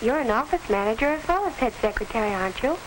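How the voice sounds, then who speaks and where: A woman acting as an earnest American teenage girl in a 1940s educational film. Her voice is fairly high and her phrasing is polite and carefully scripted. Faint crackle colours the old soundtrack. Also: animated, fast, natural voice.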